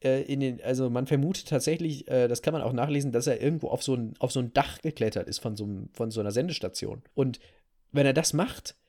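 A man's voice: mid-range voice, fast talker, dark tone. A man co-hosting a casual podcast, speaking in an emphatic way and repeating himself for stress. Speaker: podcast co-host.

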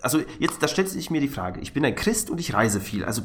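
A man's voice: mid-range voice, big pitch swings, quick pace, a bit bright. A man co-hosting a podcast, chatting casually with self-deprecating comic banter and stammered repetitions. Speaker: podcast co-host.

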